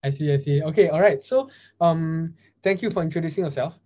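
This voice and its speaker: A man's voice: a little high, a little fast, warm tone. A man hosting a podcast, introducing the show and his guest in a friendly way with some filler words. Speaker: podcast host.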